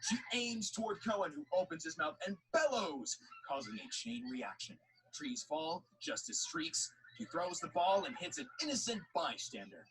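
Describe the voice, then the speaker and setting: A man's voice: high-pitched, sing-song, moderate pace, a bit bright, rough recording. A man reading scripted story narration for an audio drama. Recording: faint background noise.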